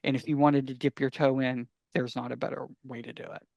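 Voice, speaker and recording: A man hosting a casual fan podcast, talking enthusiastically and sometimes haltingly as he gives recommendations. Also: mid-range voice, little pitch movement, creaky, a little mellow, dry recording, highs cut off. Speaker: podcast host.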